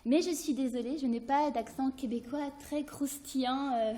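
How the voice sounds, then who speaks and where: A middle-aged woman from France, an anthropology professor presenting her research to an audience, pausing often with fillers and restarts. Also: high-pitched, fairly even intonation, dark tone, slight background noise. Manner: hesitant, many fillers and restarts. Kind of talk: academic presentation with slides.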